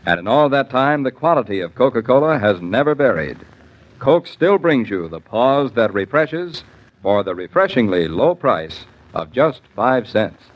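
A man's voice: medium pitch, big pitch swings, normal speed. A man working as a radio announcer, reading scripted sponsor copy in 1940s style. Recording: dull 1940s radio recording.

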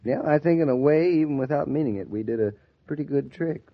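Reassuring young husband, muffled recording. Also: male voice, a little high, quick pace. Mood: gentle, admiring. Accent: American. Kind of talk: 1940s radio drama acting. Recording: muffled 1940s radio transcription.

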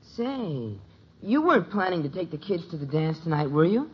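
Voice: medium pitch, very animated, moderate pace, slightly rough. An actor's performance of a bashful, sometimes impatient teenage cadet in a 1950s radio sitcom. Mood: bashful, smitten. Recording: dull old radio recording.

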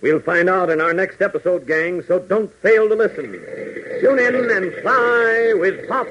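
A man's voice: high-pitched, measured pace, fairly bright tone. An American man narrating and announcing in a dramatic 1940s radio style. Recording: muffled old 1940s radio recording.

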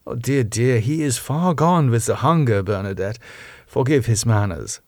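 A man's voice: medium pitch, expressive, normal speed, a little mellow, no room sound, clean studio sound, natural voice. A man voicing a commanding female vampire, soothing, stern and formal in an audiobook story. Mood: stern, coaxing. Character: commanding foreign female vampire, calmly maternal yet menacing.

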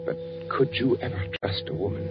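An actor playing an anxious undercover spy posing as a German architect in a 1940s radio drama, brooding and halting. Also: male voice, lightly acted. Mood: troubled, brooding. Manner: brooding, halting narration with repeated questions. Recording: dull vintage 1940s radio recording, music behind.

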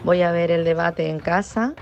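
A woman's voice: on the low side, moderate pace, gravelly, highs cut off. A woman from leaving a casual voice message as a radio listener.

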